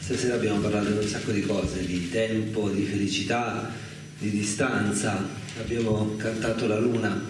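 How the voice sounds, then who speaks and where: A man's voice: fairly low pitch, level tone, moderate pace. Man in a very echoey room. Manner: hesitant, searching for words, reflective. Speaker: guest speaker, documentary filmmaker. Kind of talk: informal live talk, unscripted storytelling. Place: echoing indoor live radio event. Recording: echoey room, distant mic, faint noise.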